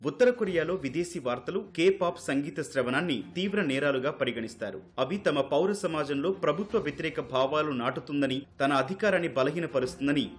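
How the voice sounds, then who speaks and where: Fast male news narrator over music. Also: on the high side.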